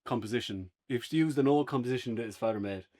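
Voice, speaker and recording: A man's voice: medium pitch, fast, darkish tone. An Irish man in a casual, unscripted review conversation, speaking as one of the podcast's reviewers.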